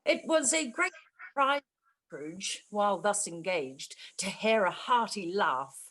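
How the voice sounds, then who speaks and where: A woman's voice: medium pitch, expressive, unhurried, a bit bright. A woman reading scripted story narration for a radio play.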